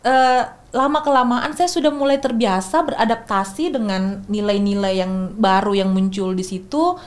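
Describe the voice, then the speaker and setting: A woman's voice: medium pitch, normal speed. Young woman from explaining. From Makassar, Indonesia. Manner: thoughtful, many restarts and fillers. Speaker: guest speaker. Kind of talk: explanatory podcast talk.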